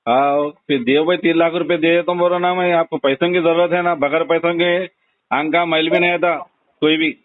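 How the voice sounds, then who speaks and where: Man, Hyderabadi accent, slightly high pitch, blunt, insistent, casual talk, thin phone-line recording. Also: fairly even intonation, normal speed, dry recording. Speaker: phone call recipient. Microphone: telephone. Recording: tinny narrowband phone call recording.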